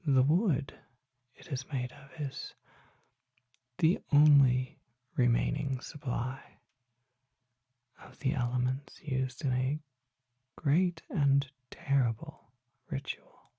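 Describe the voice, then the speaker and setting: Very close and dry, a mysterious vampire apothecary, played by an ASMR performer, whispers in a husky, breathy voice with a crackle of fry. The learned figure speaks formally and deliberately, leaving measured pauses in a slow roleplay monologue. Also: medium pitch, mellow, no room sound, dull audio, exaggerated voice. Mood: hushed, mysterious. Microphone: close microphone.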